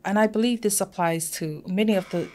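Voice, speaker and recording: A middle-aged woman from Samoa whose first language is Samoan, speaking reflectively with halting restarts as a podcast guest in a small studio. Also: mid-range voice, darkish tone, a little hiss or hum. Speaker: podcast guest, humanitarian worker. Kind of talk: casual studio conversation. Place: small studio with plants and shelves. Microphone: podcast mic on a boom arm.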